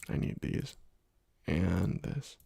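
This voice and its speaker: A man hosting an ASMR gameplay video, talking softly into a close microphone, rambling with many fillers and slipping into whispers. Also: slow, no echo. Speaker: ASMR gaming channel host. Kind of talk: soft-spoken ASMR gameplay commentary.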